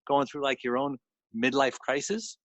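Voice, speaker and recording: A man's voice: mid-range voice, flat delivery, normal speed, a bit bright. A man co-hosting a radio interview, asking questions in a casual, informal and chatty way.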